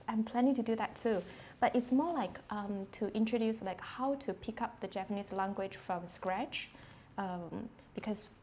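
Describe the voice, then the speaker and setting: A woman's voice: a little high, warm tone. Chatty woman teaching a live language lesson. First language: Chinese. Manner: chatty, many fillers and restarts. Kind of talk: live-streamed casual lesson with chat questions. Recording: slightly dull sound with faint noise.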